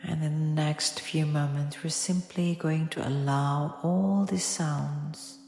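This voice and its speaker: A woman with a low voice guides a yoga nidra meditation. She speaks softly and without hurry, leaving long pauses between instructions. Her voice sits in a long, echoing reverb over gentle music with singing bowl tones. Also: steady tone. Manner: soft, soothing, unhurried instruction with long pauses.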